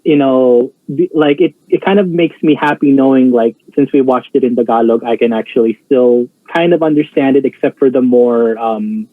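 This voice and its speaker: A man's voice: medium pitch, fairly even intonation, moderate pace, few pauses. A man chatting casually in a reaction discussion, hesitant, with many fillers and restarts. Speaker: co-host reacting to a show. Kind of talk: casual chat. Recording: dry, tinny phone-like sound.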